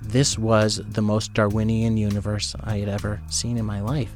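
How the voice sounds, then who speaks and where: A man's voice: lowish voice, completely flat delivery, moderate pace, a little mellow, faint music behind. A man, a journalist and former trader, telling a personal story in a candid, conversational way.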